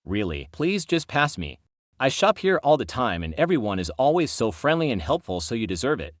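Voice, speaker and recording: A man's voice: medium pitch, big pitch swings, a little fast, no echo, studio quality, lightly acted. A man voicing a tired, well-meaning shopper in a story, earnest and persistent. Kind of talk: acted story dialogue.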